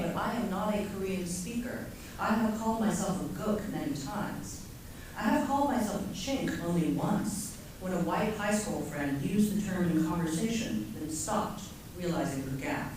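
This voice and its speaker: Measured woman poet, echoey far-off hall. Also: fairly low pitch, a little mellow, a little hiss or hum. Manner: measured, deliberate delivery. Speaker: poet reading her work. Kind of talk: poetry reading. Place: lecture hall.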